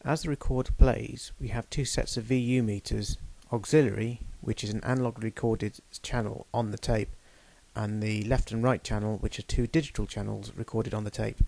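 A man giving a measured, technical demonstration of a studio machine. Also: on the low side, fairly even intonation, creaky, a little mellow. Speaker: equipment demonstrator. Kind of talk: explanatory voice-over demonstration.

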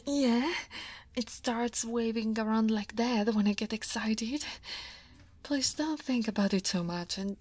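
Woman playing a breathy, slow-talking dragon lady coworker. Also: fairly high pitch. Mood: flustered, playful. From Italy. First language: Italian. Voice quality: soft, breathy. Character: female dragon office senior, guarded but teasing and flustered. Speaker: voice actor. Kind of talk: ASMR roleplay.

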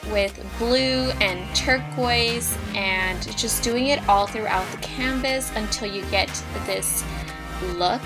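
Bubbly young woman talking over music. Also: fairly high pitch. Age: young adult. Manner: bubbly, upbeat, step-by-step instructions. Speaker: DIY vlogger. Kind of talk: casual tutorial voice-over. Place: indoors in front of a brick wall. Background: background music.

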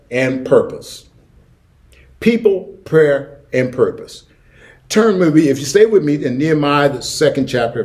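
A man's voice: fairly high pitch, a little mellow. A middle-aged American man preaching a sermon as a pastor, emphatic and given to repeating key phrases. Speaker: senior pastor. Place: church sanctuary, indoors.

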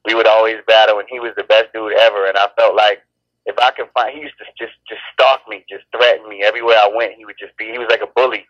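A man's voice: lowish voice, fairly even intonation, quick pace, a bit bright, no echo, highs cut off. A man who raps, talking casually in an interview, rambling and restarting his sentences. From born in Chicago, raised in Los Angeles. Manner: laid-back, rambling with many restarts. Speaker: rapper, interview guest.

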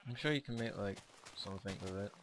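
A man with a hoarse, fading voice, chatting casually while playing a co-op game, often hesitant and stumbling over his words. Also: lowish voice, quick pace, background noise. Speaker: co-op game player. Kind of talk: casual gameplay chat.

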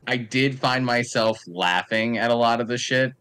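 Male podcast guest, smooth resonant mid-range voice, measured and explanatory, informal. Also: mid-range voice, a bit bright. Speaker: podcast participant. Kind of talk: casual chat.

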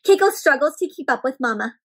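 A young woman with a high voice reads a picture book aloud for small children. She is animated and expressive, often turning to the listeners with questions as a story time host. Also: quick pace. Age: young adult.

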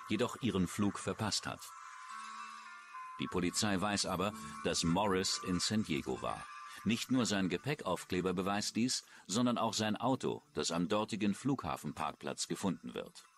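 A man reading scripted true-crime documentary narration. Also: low voice.

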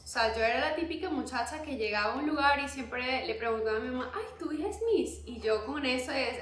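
High-voiced calm young woman on a call. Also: echoey room, slight background noise. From Venezuela. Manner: calm, soft-spoken. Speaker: guest, pageant queen. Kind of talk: casual interview. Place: home room, on video call. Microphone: earphone mic on video call.

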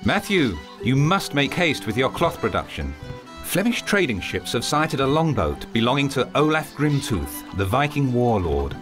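A man's voice: medium pitch, sing-song, moderate pace, music in the background, close to an everyday voice. A man playing an urgent knight commander. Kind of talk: video game cutscene acting.

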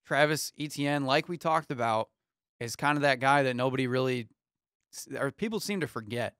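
A man's voice: medium pitch, moderate pace, clean tone, no room sound. A young man in his twenties co-hosting a casual sports talk show in a small studio, running through game scores with plenty of ums. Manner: runs through scores in a steady rundown, many ums. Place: small studio with foam panels. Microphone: studio mic on arm, headphones.

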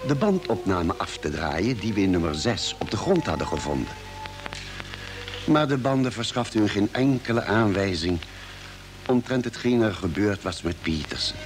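A man as an actor playing a space crewman who narrates a radio drama in a measured, grave way. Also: lowish voice. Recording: vintage radio recording, music behind.

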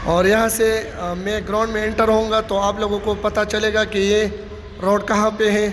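A man's voice: sits high, normal speed. A man walking through a stadium construction site, steadily narrating updates and pointing things out to viewers. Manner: steady informal commentary, repeats "you can see". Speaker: vlogger giving site updates. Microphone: handheld phone camera. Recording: long reverb, faint site noise.

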